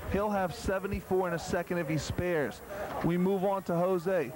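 A man's voice: high-pitched, steady tone, moderate pace, crowd noise. A man with an American accent hosting live sports commentary from a bowling alley, with joking, teasing banter. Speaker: bowling show commentator and host. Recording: noisy bowling alley, 1990s cable TV audio. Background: clear bowling alley noise.

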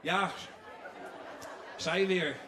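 A man's voice: high-pitched, steady tone, drawn-out words, sharp tone, some chatter behind, slight background noise. A man, presenting playfully and teasingly on stage as a show host. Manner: teasing, joking. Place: large theatre stage with choir. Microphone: handheld microphone. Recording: echoey stage, distant from mic.

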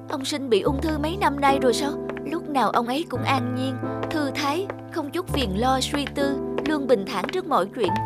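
A woman's voice: high-pitched, close to an everyday voice. A woman playing an earnest, heartbroken young woman in a cartoon, speaking her thoughts with emotion. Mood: shocked, pitying. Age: young adult. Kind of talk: cartoon dialogue and inner monologue. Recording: music under the voice. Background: music.

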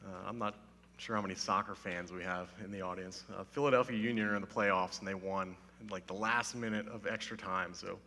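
A man in his thirties, a pastor, giving a casual, humorous sermon in a slightly hoarse voice, playful and mock-serious, on a headset mic on a church stage. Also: sits low, fairly even intonation, a little fast, pushed and bright, a little hiss or hum. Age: 30s. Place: church stage with wood-panelled wall. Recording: long hall reverb.

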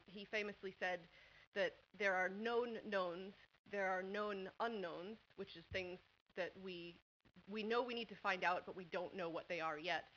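Fast-talking New England woman lecturing. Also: mid-range voice, a bit bright, a little hiss or hum, poor audio. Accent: New England American. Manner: quick, chatty, many ums. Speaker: conference speaker. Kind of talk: academic conference talk. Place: large university lecture hall. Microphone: table microphone at a panel desk.

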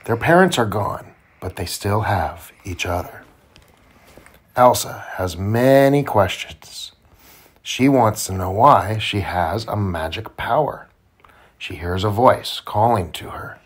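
Slow, low-voiced man reading a storybook. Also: slightly rough. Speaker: father reading storybook aloud to his child. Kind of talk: children's read-aloud narration.

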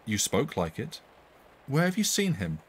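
A man's voice: medium pitch, huge pitch swings, unhurried, breathy edge, full character voice. A man voicing an inquisitive young country woman chatting in a storybook tale. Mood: curious.

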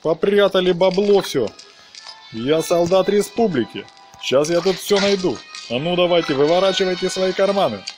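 A man's voice: high-pitched, expressive, moderate pace. Chatty man over game music. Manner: playful, chatty, talks to the game characters. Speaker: gaming video host. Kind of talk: casual game walkthrough commentary.

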